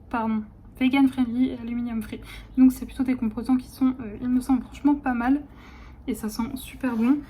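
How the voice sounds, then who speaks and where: Woman, late 20s, casual vlogger; rambling, filler-heavy talk, warm dark tone, phone recording. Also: high voice. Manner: chatty, rambling, many fillers and restarts. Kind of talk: casual vlog chat.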